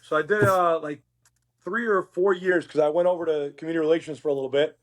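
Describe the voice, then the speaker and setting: A man with a fairly high, raspy voice, hoarse from work, speaks as a police academy instructor on a podcast. He tells stories in a casual, conversational way. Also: fairly even intonation, fast. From Uruguay.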